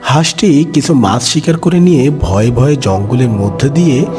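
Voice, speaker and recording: A man's voice: medium pitch, expressive, moderate pace, music in the background. A man narrating a scripted children's animal story.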